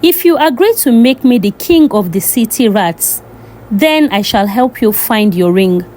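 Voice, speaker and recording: A woman's voice: on the high side, animated, measured pace, darkish tone, a little hiss or hum. A woman storyteller voicing a bargaining, commanding king of the forest rats in a folktale.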